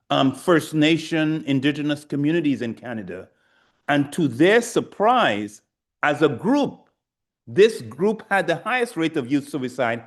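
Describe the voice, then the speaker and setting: Measured male researcher on a panel. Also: on the high side. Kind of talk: explanatory panel talk.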